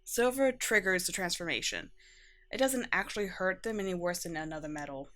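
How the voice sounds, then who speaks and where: A woman acts as an exasperated young female vampire in an ASMR roleplay. Her voice sits a little low, and she talks in a halting, defensive way with sarcastic asides, caring underneath the irritation. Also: fairly bright tone, close to an everyday voice. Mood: gentle, explaining. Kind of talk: ASMR roleplay acting, conversational.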